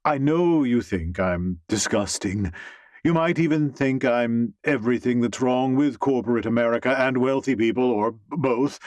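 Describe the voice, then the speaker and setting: Man voicing an imperious old grandmother, slow and deliberate. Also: mid-range voice, dry recording, studio quality, natural voice. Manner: commanding, haughty, emphatic. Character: imperious wealthy old grandmother, a steel company chairwoman.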